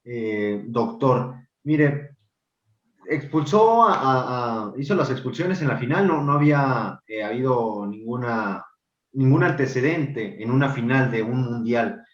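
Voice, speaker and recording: A male interviewer asking polite, halting questions in formal address. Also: mid-range voice, lively intonation. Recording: dull echoey room sound.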